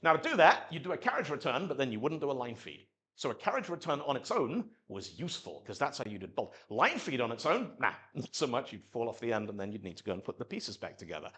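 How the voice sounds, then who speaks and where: Man, from Zimbabwe, conference speaker, lively swooping delivery, mid pitch, storytelling. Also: fast, hardly any pauses, breathy edge. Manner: animated storytelling, acts out quotes in voices. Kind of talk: live technical talk at a meetup. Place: meetup talk venue.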